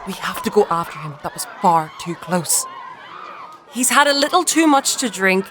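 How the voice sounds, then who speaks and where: A woman acts a protective peacemaker with a lively, swinging pitch, urgent and placating. It is dark fantasy drama, with clear ambient noise around her voice. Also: normal speed, a loud crowd, close to an everyday voice.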